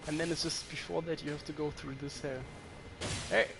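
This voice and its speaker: A man's voice: a little high, fairly even intonation, a little fast, gravelly, music in the background. A man with a German accent chatting casually to his viewers while he plays, swearing when the game frustrates him. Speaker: streamer. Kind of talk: casual gameplay commentary to chat.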